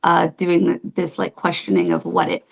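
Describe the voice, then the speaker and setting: Gravelly-voiced upbeat woman host. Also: lowish voice, level tone, normal speed. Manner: upbeat, enthusiastic, casual with fillers. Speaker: show host. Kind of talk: friendly interview hosting.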